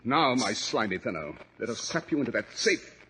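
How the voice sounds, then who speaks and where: A man playing a brisk, self-assured detective in a 1940s radio drama, theatrical and commanding with precise diction. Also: mid-range voice, big pitch swings, sharp tone. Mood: cool, deliberate. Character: brisk, self-assured male English detective, coolly unsentimental. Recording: noisy vintage 1940s radio recording.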